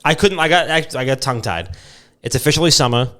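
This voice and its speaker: A man's voice: medium pitch, expressive, quick pace, pushed and bright. A man co-hosting a casual comedy podcast, rambling irreverently and repeating himself. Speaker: podcast co-host.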